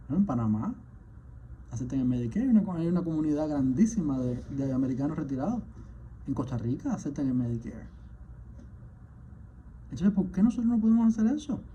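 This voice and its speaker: A man, a physician, delivering an impassioned spoken message, indignant and firing off rhetorical questions, at times surging louder. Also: on the high side, husky. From Cuba. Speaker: physician, rheumatologist. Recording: dull lo-fi sound with faint background noise.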